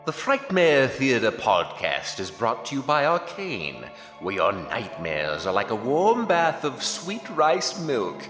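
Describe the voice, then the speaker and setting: An actor playing a formal, ominous announcer reading scripted credits in old-time horror radio style. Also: male voice, medium pitch, huge pitch swings, slow pace, few pauses, fairly bright tone, heavily acted. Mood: ominously formal. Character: grandly spooky radio announcer. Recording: echoey hall reverb, music behind.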